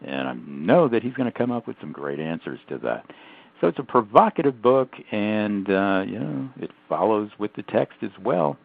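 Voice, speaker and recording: A man hosting a radio talk show, introducing his guest in an emphatic, animated way with frequent fillers. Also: on the low side, moderate pace, gravelly. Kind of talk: radio interview with lively promotional delivery. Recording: tinny phone-like audio.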